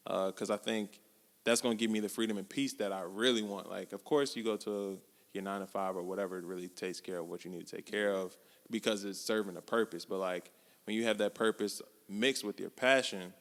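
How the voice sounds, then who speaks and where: Low-voiced young man, reverberant room. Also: fairly quick. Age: 20s. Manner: relaxed, slangy, reflective. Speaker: podcast co-host. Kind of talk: casual chat. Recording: long hall reverb.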